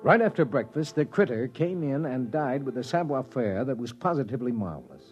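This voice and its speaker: A man's voice: mid-range voice, big pitch swings, brisk, close to an everyday voice. Guy playing a dry wry space crewman telling the story, old 50s radio show, muffled sound w/ bit of music. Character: wry male spaceship crewman narrating a grim expedition. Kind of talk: 1950s radio drama narration and acting.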